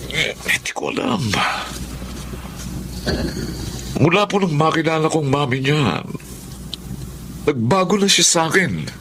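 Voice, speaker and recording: An actor playing a bewildered, devoted young boyfriend, earnest and troubled, in a radio drama. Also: male voice, fairly high pitch, expressive, normal speed, noisy background, lightly acted. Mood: dejected.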